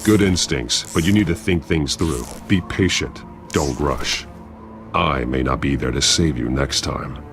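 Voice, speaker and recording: An actor playing a grim, commanding masked vigilante in a video game, speaking in terse, stern phrases. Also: male voice, a bass voice, a little slow, vocal fry, music in the background, heavily acted.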